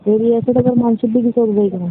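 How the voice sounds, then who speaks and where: Bangladeshi woman, quite high voice, cheeky casual banter over a narrow, slightly noisy phone line. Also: little pitch movement, fast. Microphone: phone call. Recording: tinny phone line with noise.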